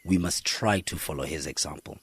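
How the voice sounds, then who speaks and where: A man playing a gentle, remorseful pastor in a radio drama, husky and measured. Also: extremely low pitch, heavily acted. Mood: solemn, gentle. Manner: measured, solemn, counselling. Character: gentle, remorseful pastor and father counselling a churchgoer.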